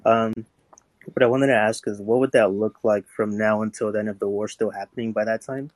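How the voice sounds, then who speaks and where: A man's voice: fairly low pitch, steady tone, a little fast, darkish tone, no echo. A man calling in with a question, polite and tentative, filling gaps with frequent ums. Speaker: caller. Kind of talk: call-in question.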